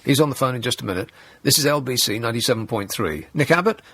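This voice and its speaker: A man's voice: mid-range voice, lively intonation, fast, bright, forceful tone, a little hiss or hum. A man hosting a radio phone-in, reading texts and talking to callers with dry sarcasm. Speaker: radio talk show host. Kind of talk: late-night radio phone-in. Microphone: studio mic.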